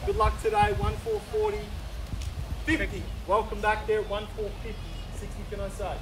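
High-voiced Australian auctioneer, street noise. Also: male voice, little pitch movement. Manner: brisk persuasive auction patter, repeating the figures. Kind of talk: live auction calling. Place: outdoors on a suburban street in front of a house. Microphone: camera filming from some distance, no mic seen. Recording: distant voice with loud street and traffic noise.

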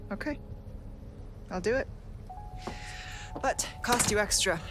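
A woman's voice: mid-range voice, takes it slowly, music in the background, lightly acted. An actress playing a cool, self-assured female spy in a video game, with a dry, understated, unflappable delivery. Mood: cool, defiant. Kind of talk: video game cutscene acting.

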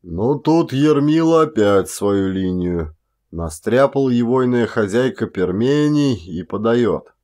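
A garrulous Siberian peasant man, acted by a male audiobook reader, spins his tale in folksy, rambling talk. He is indignant and exclamatory, yet takes his time over the words in a mid-pitched voice. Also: lively intonation, slow pace, darkish tone. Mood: grumbling, disapproving. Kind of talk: one-actor audiobook character acting.

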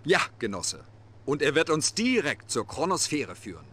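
A man's voice: a little high, sing-song, normal speed, fairly bright tone, breathy edge, exaggerated voice. An actor playing a middle-aged, stern and dutiful Soviet military officer briefing his leader in a video game cutscene, formal and earnest. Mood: urgent, formal. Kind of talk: video game cutscene acting, German dub.

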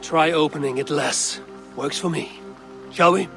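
An actor playing a gruff, impatient Norse warrior with a Scandinavian accent and a terse, deadpan manner. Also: male voice, on the high side, unhurried, music in the background. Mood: matter-of-fact.

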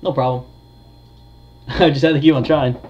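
Man, halting, on a dull mic. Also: mid-range voice, fairly even intonation, a little mellow. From United States. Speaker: gamer, video creator. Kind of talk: casual gameplay commentary. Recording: dull lo-fi mic, faint noise.

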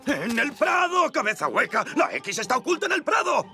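A man's voice: extremely high pitch, wildly swooping pitch, moderate pace, heavily acted. A man voicing a bossy, greedy, short-tempered wasp gang leader in a children's cartoon, blustering and barking insulting orders. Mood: irritated. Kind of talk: dubbed children's cartoon acting. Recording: loud music under the voice.

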